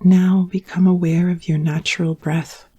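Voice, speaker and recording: A woman leading a guided yoga nidra relaxation in a calm, soothing manner, leaving long pauses between gentle instructions. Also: lowish voice, slightly rough.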